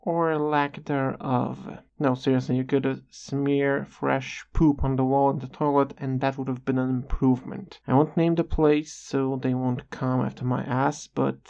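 A video creator narrating a scripted personal story in a measured, slightly halting way with dry sarcasm, on a dull lo-fi recording. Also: mid-range voice, flat delivery, vocal fry, a little mellow.

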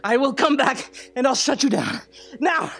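A woman's voice: high voice, very animated, moderate pace, creaky, a bit bright, full character voice. A woman playing a panicked, cursing rookie space captain in gut distress, groaning through a staged sci-fi comedy drama. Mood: frantic pleading. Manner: groaning, strained, outraged. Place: theatre stage, live performance. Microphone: stand microphone.

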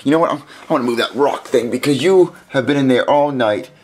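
A man's voice: medium pitch, brisk, a little hiss or hum, lightly acted. A man voicing an anxious, naive little boy puppet in a scripted comedy, hesitant and stammering. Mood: sleepy, groggy. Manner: hesitant, stammering, repeats phrases when worried.

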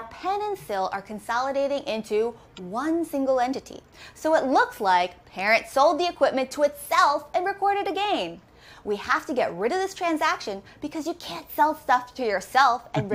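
A woman in her thirties teaching accounting, walking through each step and asking quick questions as she goes. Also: sits high. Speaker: accounting instructor. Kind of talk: recorded lesson walkthrough. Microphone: camera framed head and shoulders.

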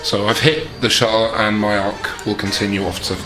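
Male tabletop player, casual, low voice, roomy sound, music behind. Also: fairly even intonation, moderate pace. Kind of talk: casual game table chat.

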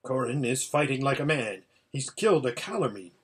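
A man's voice: mid-range voice, very animated, brisk, fairly bright tone, slightly rough, exaggerated voice. A man voicing an impatient old hermit seer in a storybook, giving an anxious, exclamatory running report. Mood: urgent. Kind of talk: storybook character voice.

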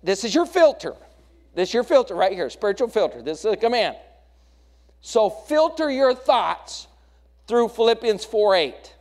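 A man's voice: sits high, sing-song, normal speed, darkish tone. An American man preaching a sermon as a pastor, exhorting his congregation with questions, repeated phrases and emphatic lists.

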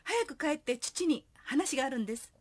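A woman's voice: high voice, sing-song, a little fast. A woman acting in a radio drama as a polite young singer and devoted daughter. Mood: polite, bright.

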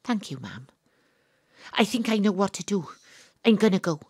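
An actress with a Welsh accent playing an excitable young woman investigator in an audio drama, with breathless, suspenseful delivery. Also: mid-range voice, normal speed, husky, no room sound, clean studio sound. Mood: agitated, earnest. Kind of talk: audio drama narration and dialogue.

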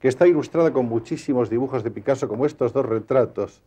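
Measured, mellow-voiced male TV interviewer. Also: medium pitch, slightly rough. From Spain. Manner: measured, informative prompting. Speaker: TV interviewer host. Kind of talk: formal interview presentation. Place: TV studio.

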